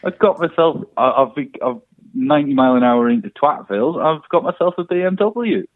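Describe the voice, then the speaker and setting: A man's voice: a little high, lively intonation, brisk, no room sound, phone-quality sound. A man co-hosting a podcast over a remote call, chatting casually and wryly on a thin line. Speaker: podcast co-host. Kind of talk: casual chat and football discussion.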